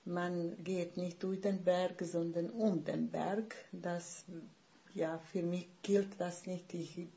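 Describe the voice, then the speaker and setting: A woman in her sixties from Bosnia, a writer speaking German with a Bosnian accent as a podcast guest, pausing often and searching for words. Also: lowish voice, fairly even intonation, creaky, dark tone, highs cut off, slight background noise. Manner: hesitant, searching for words, many fillers. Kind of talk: podcast conversation.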